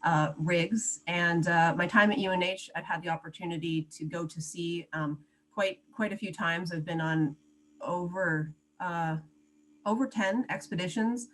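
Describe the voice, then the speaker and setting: A woman's voice: on the low side, measured pace, a bit bright, rough recording. A woman scientist speaking as a panelist, in a casual and hesitant way with fillers. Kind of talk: online panel talk.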